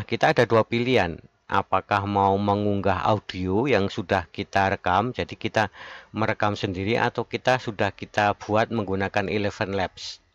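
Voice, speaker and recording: Low-voiced man, dull recording. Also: no room sound. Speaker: tutorial presenter. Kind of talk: step-by-step software tutorial narration. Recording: dull lo-fi voice-over.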